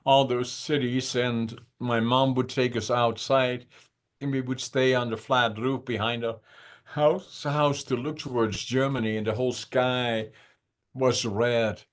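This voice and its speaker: A man in his eighties from the Netherlands, a podcast guest who talks over a video call and recalls his childhood in slow, reflective stories with many pauses. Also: mid-range voice, steady tone. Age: 80s. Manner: unhurried, reflective, with frequent "uh" pauses. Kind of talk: conversational storytelling.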